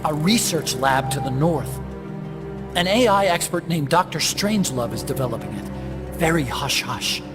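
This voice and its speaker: An actor playing an earnest, bookish scientist in a video game, explaining things a little awkwardly. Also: male voice, a little high, lively intonation, moderate pace, natural voice. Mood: earnest, explanatory. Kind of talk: video game cutscene acting. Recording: music under the voice.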